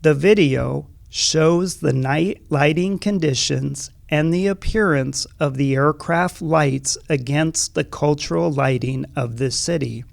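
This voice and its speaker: A man reading scripted explanatory narration in a measured, formal, factual manner. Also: on the high side, gravelly, dry recording, clean studio sound.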